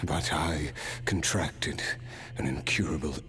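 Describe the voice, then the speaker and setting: A man voicing a weary, dying nobleman swordsman in a video game, telling his story in a grave, measured and at times breathy way. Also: extremely low pitch, a bit bright, full character voice. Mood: somber, reminiscing. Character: weary dying male swordsman, former noble, solemn and reflective. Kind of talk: dramatic video game voice acting.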